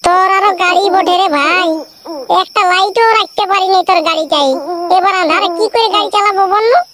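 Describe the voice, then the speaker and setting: Shrill, fast-talking grumbling cartoon villager. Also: crowd noise. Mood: nagging, worried. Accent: rural Purulia Bengali. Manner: squabbling, exclamatory, earthy village banter. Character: excitable, grumbling village man in a comic cartoon. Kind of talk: comic cartoon dialogue.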